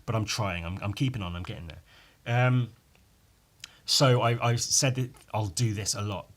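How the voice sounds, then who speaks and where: A man with a somewhat low, croaky voice talks solo in a loose, rambling podcast style. He is informal and swears freely, often undercutting himself or ending a thought with a little tag question. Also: moderate pace, a bit bright. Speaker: podcast host and illustrator. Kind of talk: casual rambling solo chat.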